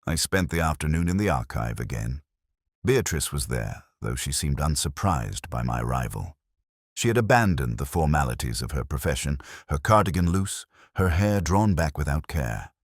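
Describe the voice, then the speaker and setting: A man narrating a first-person detective story in a measured, brooding, literary manner. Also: big pitch swings, slightly rough, no echo, studio quality. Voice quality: very deep.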